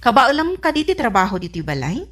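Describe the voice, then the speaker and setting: Suspicious madam of the house, actress, stern questions, highish and animated, comedy drama. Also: female voice, fast talker. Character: strict, suspicious wife and household boss. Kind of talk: comedy radio drama acting.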